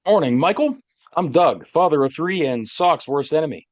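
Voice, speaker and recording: An actor playing a joking father of three turned entrepreneur in an audio play, with a folksy comic pitch. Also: lively intonation, normal speed, no echo, muffled recording, natural voice. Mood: jokey.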